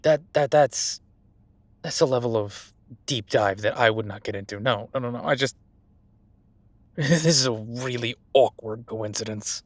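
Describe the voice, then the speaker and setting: A man's voice: medium pitch, a little slow, dry recording, lightly acted. A man playing a friendly, self-made CEO and old college friend in a roleplay audio drama, talking casually with stammers and self-deprecation. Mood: flustered, awkward. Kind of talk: intimate one-sided roleplay audio drama, conversational.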